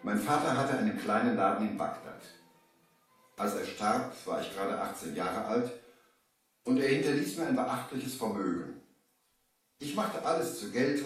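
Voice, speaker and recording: A man's voice: medium pitch, lightly acted. A man playing a young merchant who narrates his sea adventure theatrically in a 1970s children's radio play. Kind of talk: dramatic scripted storytelling in 1970s radio play. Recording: very echoey, slightly distant vinyl transfer.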